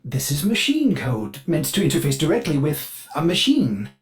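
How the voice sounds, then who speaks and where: A man's voice: on the high side, huge pitch swings, moderate pace. An actor voicing a polite, eager male ship AI servant in a video game, with a formal, mannered delivery. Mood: informative. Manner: mannered, formal, refers to itself in third person. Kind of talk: scripted game character voice lines.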